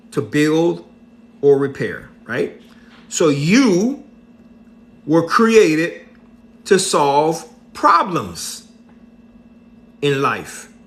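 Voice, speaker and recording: A middle-aged man speaks on a livestream with a slightly high voice. He talks very slowly and deliberately, as a motivational speaker. He drives his points home by repeating them and asking "right?" again and again. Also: a bit bright. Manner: preachy, repeats points, asks "right?" often; slow and deliberate.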